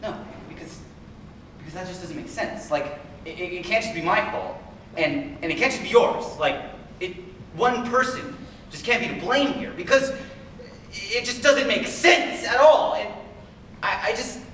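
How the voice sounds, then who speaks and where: A man's voice: high voice, moderate pace, fairly bright tone. A man acting an anxious, pleading lover, echoing room. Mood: apologetic, frustrated. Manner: stammering, hesitant, repeating words. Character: anxious, pleading, passionate man in a troubled relationship. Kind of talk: contemporary dramatic stage monologue. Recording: very echoey room, far from mic, faint noise.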